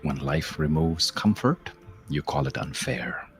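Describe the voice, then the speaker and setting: A man leading a guided meditation as host, speaking in a measured, contemplative way with long pauses and softly trailing phrases. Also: a bass voice, soft background music. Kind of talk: slow guided meditation, spoken-word reflection with verse.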